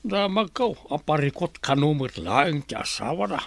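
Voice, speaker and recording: A man as a creaky, grumbling old grandfather. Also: a little high, very animated, moderate pace, fairly bright tone, dry recording, heavily acted. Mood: grumbling, reproachful. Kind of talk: Ilocano radio drama acting.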